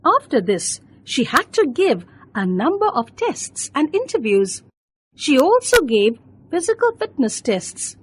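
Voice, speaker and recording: Slow, high-pitched woman storyteller. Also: very animated. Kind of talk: scripted children's bedtime story narration.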